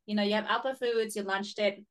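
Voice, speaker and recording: A woman's voice: mid-range voice, fairly even intonation, brisk, clean tone. A young woman hosting an interview, asking questions in a chatty, conversational way with frequent "like". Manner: chatty, friendly questioning, uses "like" often. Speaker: podcast host.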